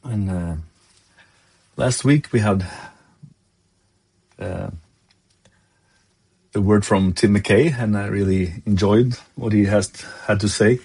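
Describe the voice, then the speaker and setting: A man from Norway with a Norwegian accent, giving a devotional sermon in English, his second language, pausing often to search for words. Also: on the low side, a little mellow, slightly rough. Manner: halting, searching for words, many fillers. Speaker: lay preacher.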